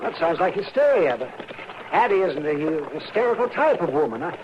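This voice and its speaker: A crusty old frontier doctor in a vintage radio western, high-voiced and excitable. He sputters and fusses in a folksy, kindly way, on a noisy, muffled old recording. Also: a little fast. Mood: concerned, fussy. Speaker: radio actor.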